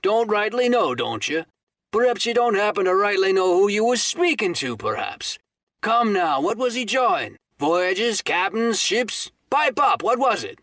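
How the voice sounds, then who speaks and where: A man voicing a hearty, cunning one-legged pirate landlord, booming and theatrical. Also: fairly even intonation, normal speed, hardly any pauses, fairly bright tone, no room sound. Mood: hectoring.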